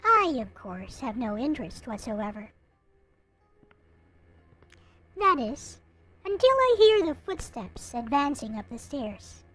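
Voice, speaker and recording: A high, swooping voice from a woman acting a young visual novel narrator who has given up on life. The delivery is brooding, bitter and self-pitying, theatrical in its melancholy. Music is clearly present in the recording. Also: very animated, unhurried, fairly bright tone, heavily acted. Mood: resigned, sullen. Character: gloomy, bitter, hopeless young person going blind, self-pitying narrator. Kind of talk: dramatic reading of a visual novel.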